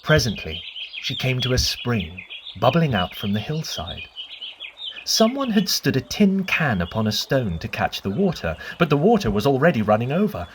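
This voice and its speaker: A woman's voice: very deep voice, sing-song, a little hiss or hum. A woman storyteller narrating a children's bedtime tale expressively, drawing out repeated words for dramatic effect. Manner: deliberate, dramatic, drawn-out repetitions.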